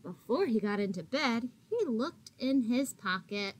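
A woman teacher reads aloud to small children. Her voice sits a little high and she takes her time, stretching words expressively as she tells the story. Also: lively intonation, a little slow. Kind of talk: picture-book read-aloud for young children. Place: small indoor classroom. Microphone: laptop or webcam at arm's length.

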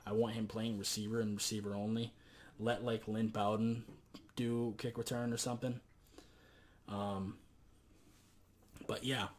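A hoarse young man giving casual football commentary into a webcam in a small bedroom, talking in a loose, rambling way with many fillers. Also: on the low side, level tone, measured pace, pauses often. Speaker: sports vlogger.

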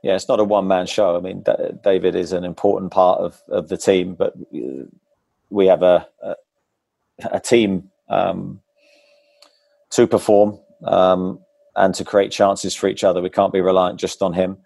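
A man in his forties, a football manager, answering post-match press questions over a video call through a wired earphone mic, measured and hesitant, often pausing with ums. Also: deep voice, steady tone, vocal fry, warm tone, no room sound. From England. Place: club press room before a sponsor board. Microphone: wired earphone headset on a video call.

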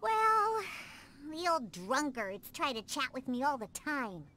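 A woman's voice: sits high, big pitch swings, slow pace, noisy background, full character voice. A woman playing a proud, prickly young cat-girl bartender in a video game, huffy and haughty, hesitant when she wants something. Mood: grumbling, exasperated. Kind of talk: English video game voice acting.

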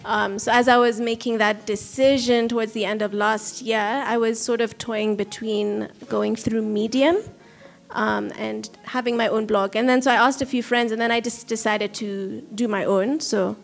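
A woman's voice: fairly high pitch, fairly even intonation, moderate pace, gravelly, faint music behind. A young woman from Kenya, an audience member asking a rambling, hesitant question at a panel into a handheld mic. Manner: hesitant, many ums, rambling. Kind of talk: casual spoken question at a panel. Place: large hall with audience.

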